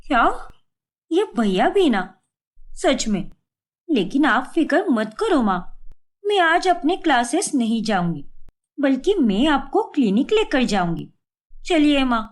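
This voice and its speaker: A woman voicing a protective, sharp-tongued young daughter in a story, indignant and pointed. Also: high-pitched, big pitch swings, unhurried, pauses often. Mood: indignant, caring.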